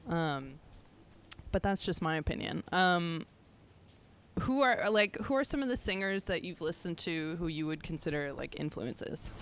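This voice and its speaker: A woman hosting a podcast, asking questions in a casual, filler-heavy way with quick giggles. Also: lowish voice, expressive, slight background noise. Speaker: podcast host.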